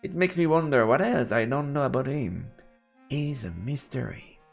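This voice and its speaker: A man's voice: medium pitch, expressive, normal speed, gravelly, soft background music. A man live-streaming a game, reading its narration aloud and adding murmured, playful asides. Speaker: let's play streamer.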